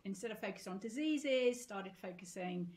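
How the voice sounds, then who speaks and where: A woman's voice: mid-range voice, expressive, normal speed, darkish tone. Friendly woman doctor on a podcast. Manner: friendly, explanatory, laughs easily. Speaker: guest doctor. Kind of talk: interview answers. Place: home room, indoors. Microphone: on a video call.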